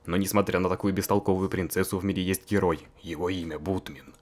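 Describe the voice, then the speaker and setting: A man's voice: sits low, fairly even intonation, fast, a little mellow. A man narrating a fan-voiced visual novel in a joking, mocking way, full of crude slang and frequent laughter.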